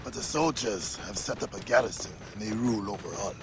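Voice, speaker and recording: A man playing a loyal Egyptian villager and friend of the hero in a video game, expressive and familiar. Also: lowish voice, fast, background noise, lightly acted. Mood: troubled. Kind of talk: cinematic video game acting.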